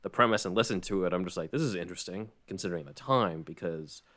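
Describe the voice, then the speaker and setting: Very deep-voiced man hosting casually. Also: expressive, fast talker, bright, forceful tone. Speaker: podcast host. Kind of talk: casual explanatory recap.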